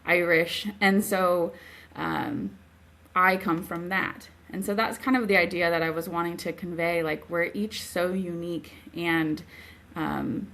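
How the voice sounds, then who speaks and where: A woman with a slightly low voice answers as a guest author. She is reflective and earnest, working through her ideas in casual, conversational language. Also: fairly bright tone. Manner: thoughtful, earnest, explanatory. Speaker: author being interviewed. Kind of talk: casual reflective interview talk.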